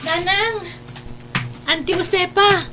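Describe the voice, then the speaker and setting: A very high-pitched, cheerful schoolchild voiced by a woman with an Ilocano accent. The delivery is affectionate audio drama acting. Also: fairly even intonation, slow, music in the background. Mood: cheerful, fond. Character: cheerful, affectionate schoolchild taking leave of mother.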